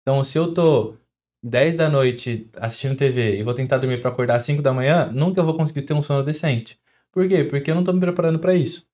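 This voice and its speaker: A young adult man with a Brazilian Portuguese accent, a doctor guest on a podcast, explaining with everyday examples in a quick, chatty way. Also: medium pitch, warm tone. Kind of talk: podcast interview.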